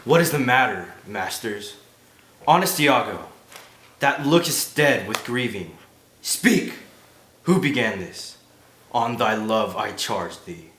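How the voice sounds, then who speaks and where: An actor playing a stern, dignified general, declaiming with authority in classical stage style. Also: male voice, on the low side, animated, slow pace, pauses often, fairly bright tone. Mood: stern questioning. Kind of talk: classical Shakespearean stage acting. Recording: echoey hall, faint noise.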